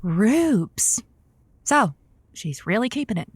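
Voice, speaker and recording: An actress playing a sardonic, flirtatious late-night radio host in an audio comedy, teasing and theatrical. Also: female voice, medium pitch, very animated, takes it slowly, slight background noise. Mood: sarcastic, mocking.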